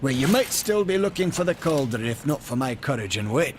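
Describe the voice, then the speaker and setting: A man's voice: mid-range voice, sing-song, fairly quick, faint music behind, close to an everyday voice. A man playing a boastful, chatty maker. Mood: wry. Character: boastful male maker, wry and talkative. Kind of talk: video game cutscene acting. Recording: game score behind.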